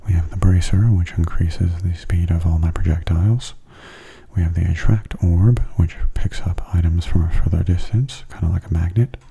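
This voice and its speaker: Male ASMR gamer, very low and gravelly, soft-spoken, gentle, breathy, unhurried delivery. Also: fairly even intonation. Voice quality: soft, breathy, often half-whispered. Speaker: gamer giving gameplay commentary.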